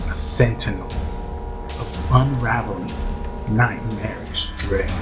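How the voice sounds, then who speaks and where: A man hosting a horror story series, narrating a script in a theatrical, ominous, deliberate manner as a sinister keeper of shadows. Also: mid-range voice. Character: sinister keeper of shadows addressing his followers.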